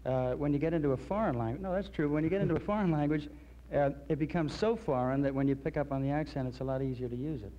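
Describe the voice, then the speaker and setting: Male actor guest, 30s, relaxed and chatty, dull lo-fi TV sound. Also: on the high side, fast. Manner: relaxed, easygoing, many ums and you-knows. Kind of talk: 1960s television interview. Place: TV studio with curtain backdrop. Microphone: host's handheld mic. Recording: lo-fi 1960s TV broadcast with light hiss.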